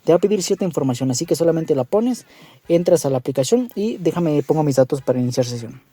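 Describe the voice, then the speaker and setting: A man's voice: on the high side, expressive, fast talker, dark tone, no echo. A man narrating a casual app tutorial in an informal, meandering way, often checking in with the listener. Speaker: tutorial host.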